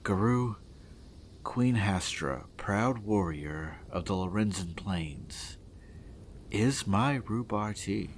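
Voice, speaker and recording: A man playing an earnest, solemn goblin warrior in a fantasy audio drama, speaking formally and deliberately. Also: on the low side, lively intonation, a little hiss or hum. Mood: earnest, resigned. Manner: formal, deliberate, heartfelt declarations.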